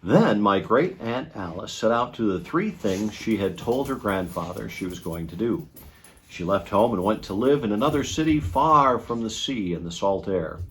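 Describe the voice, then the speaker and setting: Low-voiced man, very animated storybook reader. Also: slight background noise. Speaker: storyteller, TV weatherman reading aloud. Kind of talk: expressive children's storybook reading.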